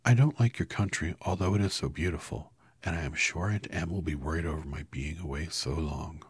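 A man voicing an earnest, tearful little girl, raising his low, husky voice to plead. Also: fairly quick, no room sound, clean studio sound, exaggerated voice. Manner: pleading, high-pitched and loud.

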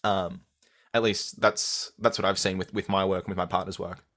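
A young adult man with an Australian accent, answering interview questions as an audio content creator in a measured, thoughtful way with frequent restarts. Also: sits low, flat delivery, a bit bright.

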